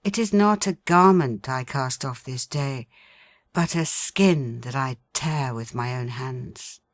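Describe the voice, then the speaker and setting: Slow, low-voiced woman reading solemnly. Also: no room sound. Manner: solemn, measured, poetic reading. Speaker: audiobook reader. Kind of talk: literary audiobook narration.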